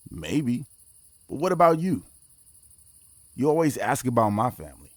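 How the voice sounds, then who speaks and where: A man playing a guarded, pragmatic mission leader in his forties in a naturalistic audio drama, speaking in a measured, reflective way. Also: mid-range voice, sing-song, no room sound, studio quality, natural voice. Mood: guarded, conflicted.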